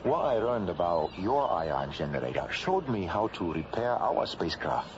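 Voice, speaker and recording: A man in a radio drama playing an earnest scientist and space traveller, with solemn, measured, formal phrasing. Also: deep voice, very animated, background noise. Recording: dull lo-fi old radio recording. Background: loud music.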